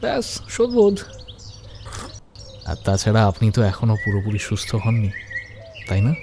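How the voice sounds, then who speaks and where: A man voicing a gentle, modest, poetic young man in an animated love story, courteous and. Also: lowish voice, moderate pace, slight background noise. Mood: gentle, teasing. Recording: studio voice under loud background music.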